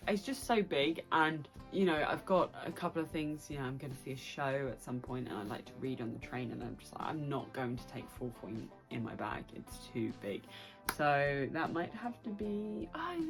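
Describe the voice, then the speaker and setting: A young British woman chatting casually and excitably to her viewers as a book vlogger. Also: a bass voice, normal speed, soft background music. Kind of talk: casual chatty vlog to camera.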